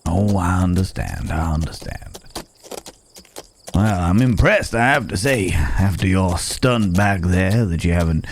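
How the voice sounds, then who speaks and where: A man playing a rugged, wandering cowboy stranger in an intimate ASMR roleplay, with folksy old-West phrasing, a low gravelly voice and hesitant pauses, gently teasing and caring. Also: slight background noise. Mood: calm, caring. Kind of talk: ASMR roleplay, intimate acted monologue to the listener.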